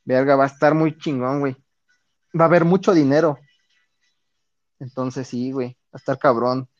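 A man's voice: medium pitch, steady tone, normal speed, dry recording. A man with a Mexican accent streaming, chatting casually with viewers in slangy, profane speech. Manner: slangy, profane, reads and answers chat. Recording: dull lo-fi dry sound.

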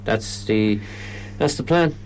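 Irish man, low pitch, hesitant restarts, radio interview guest, faint hum behind. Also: slow pace, darkish tone, rough recording. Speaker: comedy event organiser.

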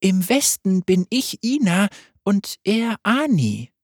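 A man voicing a gentle, eerie female death spirit, speaking softly and calmly in a light, breathy tone. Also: sits high, takes it slowly, dry recording, clean studio sound, pitched above the performer's own voice, full character voice. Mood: soothing eerie. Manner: soft, lilting, calmly consoling.

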